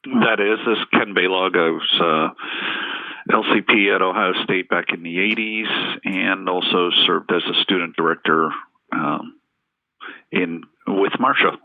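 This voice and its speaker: A man in his fifties, dialed in by phone, speaks haltingly. His voice is very deep and gravelly. The line is tinny and dry. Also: steady tone, no room sound.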